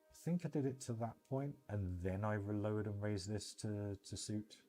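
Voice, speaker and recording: British man, low-pitched, mellow tone, casual game livestream commentary, informal rambling delivery, faint music behind. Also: steady tone, normal speed. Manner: informal, rambling, thinking aloud. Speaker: livestream host.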